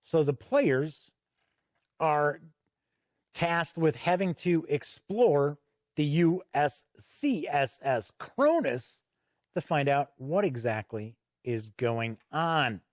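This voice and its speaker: A man hosting an unscripted review walk-through, explaining things in a measured way with deliberate pauses. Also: a little high, animated, no echo, clean studio sound. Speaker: host and reviewer.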